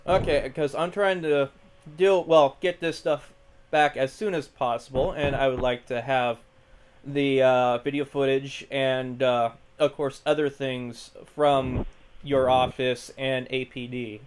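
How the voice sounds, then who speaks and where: A man with a mid-range voice is the caller in a recorded phone conversation. He asks questions haltingly, politely but with persistence. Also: medium pitch, fairly even intonation, slight background noise. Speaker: caller asking about own case. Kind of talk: phone inquiry. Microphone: recorded phone call.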